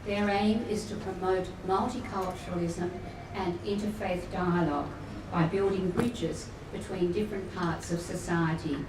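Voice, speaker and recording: A woman's voice: on the low side, steady tone, unhurried, few pauses. Woman giving a welcome speech. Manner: warm, chatty asides and small laughs. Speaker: professor welcoming guests at a luncheon. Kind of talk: formal welcome speech with asides. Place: function room at a luncheon event. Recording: echoey room, distant mic, noisy.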